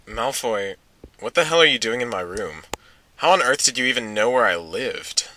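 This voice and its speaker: A man's voice: mid-range voice, expressive, normal speed, bright, forceful tone, a little hiss or hum. A man voicing a laid-back, bewildered stoner teenage boy in an offhand, hesitant way for a comic story reading. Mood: puzzled.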